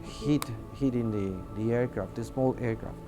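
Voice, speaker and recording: A man with a Mexican accent, a native Spanish speaker, gives interview testimony as an air traffic controller, haltingly searching for his English words. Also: medium pitch. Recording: music under the voice. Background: music.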